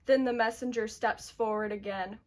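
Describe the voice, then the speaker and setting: Higher-pitched woman narrating a tragedy. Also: fairly even intonation, moderate pace. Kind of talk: scripted dramatic storytelling.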